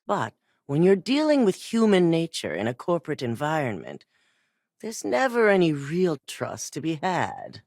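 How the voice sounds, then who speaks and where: A cold corporate boss. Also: female voice, low-pitched, dry recording, clean studio sound, exaggerated voice. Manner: curt, authoritative, clipped. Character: cold, exacting female corporate executive. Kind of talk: video game voice acting.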